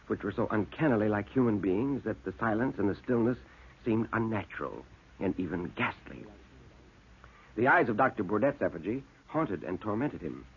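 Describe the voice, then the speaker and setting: A man narrating a horror tale in classic radio style, deliberate and full of dramatic suspense. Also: fairly low pitch, breathy edge. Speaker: storyteller and narrator. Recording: muffled narrow-band old radio recording.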